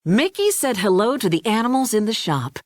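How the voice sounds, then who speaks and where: A woman narrating a children's read-along storybook in an expressive, deliberate storytelling manner. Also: fairly high pitch, dry recording, clean studio sound.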